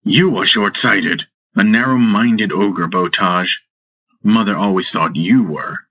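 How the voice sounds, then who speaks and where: A man voicing an earnest, peace-minded young warrior who argues deliberately, in a fantasy audiobook. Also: fairly low pitch, sharp tone, full character voice, rough recording. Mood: protesting.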